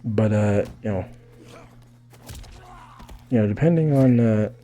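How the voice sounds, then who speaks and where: A man's voice: lowish voice, slow, warm tone, soft background music. A man giving a casual fighting game tutorial, thinking aloud in a rambling way and often checking in with "right?". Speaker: gaming video creator explaining techniques.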